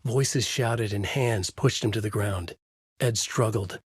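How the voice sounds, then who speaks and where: A man narrating a dark thriller game as a brooding, haunted writer, in a deliberate, literary, dramatic delivery. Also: fairly low pitch, breathy edge, no room sound, studio quality. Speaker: voice actor playing a writer protagonist who narrates.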